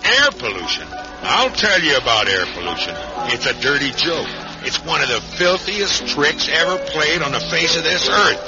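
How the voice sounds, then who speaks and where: A man's voice: on the high side, sing-song, normal speed. A man performing an indignant, mounting monologue for a public service ad. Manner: indignant, building list-like rant. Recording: muffled old broadcast, loud music.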